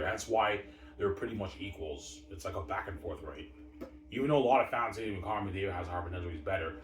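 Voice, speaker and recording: A man rambling casually, repeating himself in a boastful way, with emphatic bursts that trail off. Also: sits low. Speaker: vlogger. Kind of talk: rambling casual hockey talk. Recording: roomy, distant mic, faint noise.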